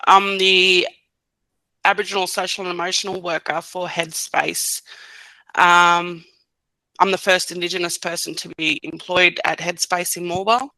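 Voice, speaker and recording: A woman's voice: fairly low pitch, flat delivery, moderate pace, sharp tone, no echo, rough recording. A woman in her thirties, a podcast guest giving short, hesitant answers with many fillers. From Australia. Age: 30s. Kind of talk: casual podcast interview.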